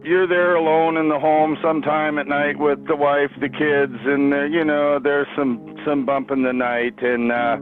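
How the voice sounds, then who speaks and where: A man's voice: on the high side, a little slow, hardly any pauses. A man talks casually and rambles, filling gaps with "you know" and "uh". His voice is thin and phone-like, and faint music plays behind him. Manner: rambling, filler-heavy, relaxed. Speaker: interviewee in a clip. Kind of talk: casual interview talk. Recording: tinny phone-like radio clip.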